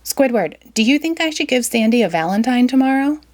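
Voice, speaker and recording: An excitable young cartoon sponge boy from a storybook, high and bubbly, as a woman reader puts him on. He talks in quick, eager bursts that jump up in pitch. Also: close to an everyday voice.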